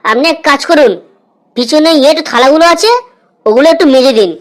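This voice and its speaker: A woman's voice: high voice, fairly quick, slight background noise, close to an everyday voice. A woman voicing a cheeky street food vendor in a comedy cartoon, hawking and needling. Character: cheeky paratha vendor haggling with customers.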